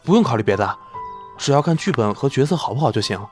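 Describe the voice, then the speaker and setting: Eager young man, devoted fanboy, acted, soft music. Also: mid-range voice, lively intonation, fast, close to an everyday voice. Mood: eager, reassuring. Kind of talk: audio drama acting.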